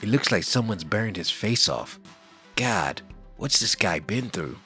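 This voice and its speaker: A man playing an earnest, polite police detective in a comic book audio drama, measured and subdued. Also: lowish voice, animated, bright, forceful tone. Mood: concerned, shaken. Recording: music behind the voice.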